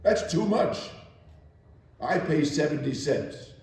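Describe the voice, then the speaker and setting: A man's voice: on the high side, animated, slow pace, darkish tone. A man playing a stingy, bossy elderly woman employer in a theatrical dramatic reading, curt and demanding. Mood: haggling. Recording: echoey hall, far from mic.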